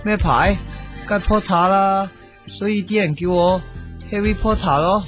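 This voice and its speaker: Chatty Cantonese radio host, fairly high voice, faint music bed. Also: male voice, steady tone, unhurried, a bit bright. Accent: Hong Kong Cantonese. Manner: jokey, chatty, stammering gags. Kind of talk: comic radio drama narration.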